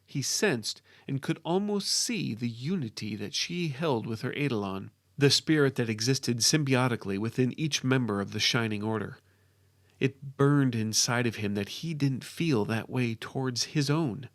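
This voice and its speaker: A man narrating a scripted fantasy story as its author, in a deliberate, dramatic and expressive way. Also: mid-range voice, dry recording, clean studio sound.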